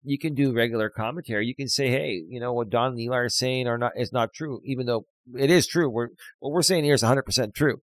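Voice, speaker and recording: A man's voice: medium pitch, fast talker, hardly any pauses, no room sound. A man co-hosting a podcast, talking passionately and often restarting his words. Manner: describes himself as loud and projecting. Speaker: podcast co-host. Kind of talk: casual podcast talk.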